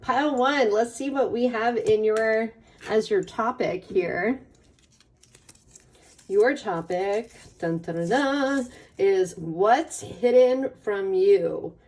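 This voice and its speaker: A woman hosting a casual tarot card reading in an informal, playful way, her voice slightly raspy as she gets over a cold. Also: mid-range voice, slow, a little mellow, distant mic. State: getting over a cold or allergies. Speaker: tarot reader hosting a pick a card channel.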